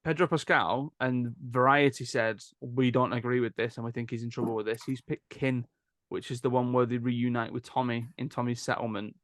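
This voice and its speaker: A man's voice: mid-range voice, little pitch movement, moderate pace, a bit bright, dry recording. Man hosting, close dry sound. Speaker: podcast host. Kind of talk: casual chat.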